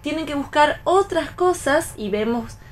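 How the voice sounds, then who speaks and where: A woman's voice: sits high, expressive, unhurried, a little hiss or hum. An Argentine woman talking casually as a panelist in a radio round-table discussion.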